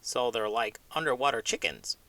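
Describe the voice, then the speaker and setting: A man's voice: medium pitch, sing-song, moderate pace, sharp tone, no room sound. A man voicing a cheeky, boastful pig obsessed with food, wisecracking in a comic children's read-aloud skit. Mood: cheeky, skeptical. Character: cheeky hot-sauce-obsessed pet pig, brashly confident.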